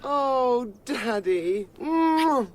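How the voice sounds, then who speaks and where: A woman's voice: sits high, lively intonation, very slow, darkish tone, full character voice. A woman acting a barrister's affectionate, girlish daughter in an emotional radio drama, with faint noise behind her.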